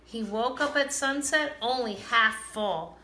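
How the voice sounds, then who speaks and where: A woman reading a children's picture book aloud in an animated, expressive storytelling manner. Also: on the high side, measured pace. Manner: animated, dramatizes characters' lines. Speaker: storybook reader. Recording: roomy, echoing, some background noise.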